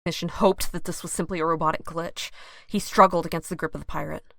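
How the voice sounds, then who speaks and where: A woman's voice: low-pitched, steady tone, fast talker, gravelly, a bit bright, no echo, studio quality. A woman narrating a scripted audio drama as its storyteller.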